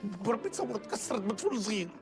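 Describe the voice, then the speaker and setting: A man voicing an excitable, stingy working-class Tunisian husband in a cartoon comedy, stammering, repeating words and wheedling. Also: high-pitched, quick pace, music in the background. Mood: sheepish, pleading. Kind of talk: animated sitcom dialogue.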